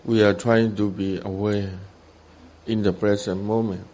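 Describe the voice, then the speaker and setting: A man with a Burmese accent, a meditation teacher, giving guided instruction in short simple phrases with long pauses between them. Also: on the low side, level tone, warm tone, highs cut off, slight background noise. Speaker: meditation teacher, Buddhist monk.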